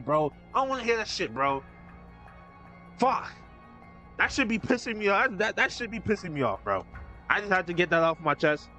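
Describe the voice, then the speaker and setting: Bright-voiced young male streamer ranting. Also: very high-pitched. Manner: excitable, profane, repeats "bro" and restarts when worked up. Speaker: game streamer. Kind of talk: ranting live gameplay commentary.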